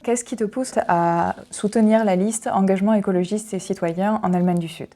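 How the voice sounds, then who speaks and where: Une femme qui mène l'interview et pose ses questions sur un ton familier, en tutoyant son invitée. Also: female voice, mid-range voice, fairly even intonation, normal speed, warm tone, faint music behind. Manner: familiar, addresses guest informally. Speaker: interviewer. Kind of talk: friendly interview questions.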